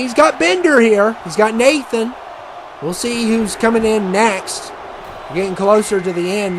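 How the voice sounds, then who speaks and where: A man casually commentating a wrestling video game match, rambling and chatty with many fillers, and he says he has a loud voice. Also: sits high, background noise. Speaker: gaming commentator.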